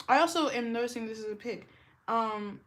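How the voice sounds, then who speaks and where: A young woman with a deep, smoky voice that she puts down to years of smoking. She gives a loose, rambling tarot reading, filling her sentences with "like" and trailing off mid-thought. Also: fairly quick, fairly bright tone. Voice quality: deep smoker's voice. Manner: rambling, heavy use of "like", laughs often. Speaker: tarot reader. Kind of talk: casual tarot reading vlog.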